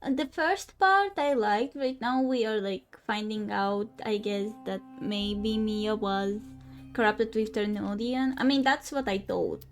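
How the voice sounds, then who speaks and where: A woman doing casual gameplay commentary, haltingly reading on-screen text aloud and stumbling over words. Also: mid-range voice. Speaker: game streamer. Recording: game music behind. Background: video game music.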